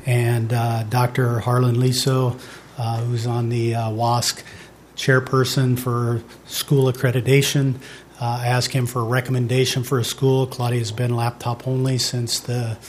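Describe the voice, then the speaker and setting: Halting man, flat monotone delivery. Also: medium pitch, slight background noise. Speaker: parent giving public comment. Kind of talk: public comment at a school board meeting.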